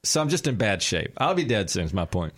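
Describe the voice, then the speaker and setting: A man's voice: lowish voice, big pitch swings, fairly quick, fairly bright tone. Joking podcast host in his thirties. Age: 30s. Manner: joking, self-mocking storyteller. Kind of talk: casual chat. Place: radio studio.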